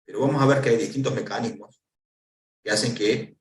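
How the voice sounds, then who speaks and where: A man's voice: medium pitch, brisk, distant mic. Lecturing Argentine man in an echoey hall. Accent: Argentine Spanish. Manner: didactic, asks and answers own questions. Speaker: lecturer on pension law. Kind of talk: explanatory conference talk.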